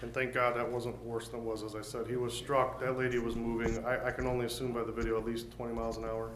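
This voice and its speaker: A man's voice: medium pitch, little pitch movement, fast talker. A man serving as mayor, giving plain-spoken, earnest remarks at a city council meeting. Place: council chamber. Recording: roomy chamber with faint noise.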